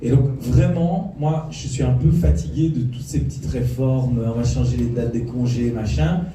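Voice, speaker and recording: A man's voice: medium pitch, moderate pace, dark tone. A man giving an impassioned political speech, insistently repeating his key points. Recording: echoey hall from far off, faint noise.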